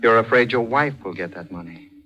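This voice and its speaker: An actor playing a mysterious, all-knowing stranger in a 1940s radio drama, terse and cryptic. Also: male voice, lowish voice, animated, fairly quick, lo-fi sound, faint music behind, natural voice. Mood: knowing, ominous. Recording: old 1940s radio recording.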